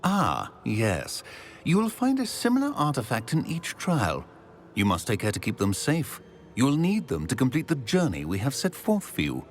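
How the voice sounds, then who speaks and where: An actor playing a courteous, secretive old wizard professor in a game cutscene, speaking gravely. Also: male voice, mid-range voice, wildly swooping pitch, moderate pace, a little hiss or hum. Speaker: game character. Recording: faint music behind.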